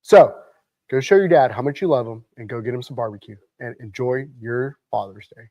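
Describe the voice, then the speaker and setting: A man's voice: medium pitch, lively intonation, a little fast, dry recording, highs cut off. A man hosting a morning talk show, chatting casually and animatedly. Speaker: show host. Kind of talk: casual on-air chat.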